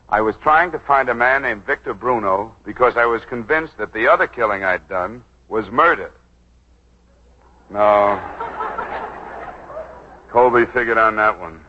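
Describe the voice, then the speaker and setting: A hard-boiled, cynical American lawyer in an old radio thriller. His low voice is terse and world-weary but swings lively when defiant, on a dull vintage recording. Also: a little slow, pauses often. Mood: bitter, resigned. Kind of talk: 1940s radio acting.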